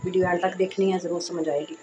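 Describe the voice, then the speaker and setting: A woman hosting a sewing tutorial, speaking in a casual, reassuring way while she explains each step, with a hoarse voice from a sore throat. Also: lowish voice, flat delivery, quick pace, lo-fi sound, over music. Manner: chatty and reassuring, frequent "accha ji".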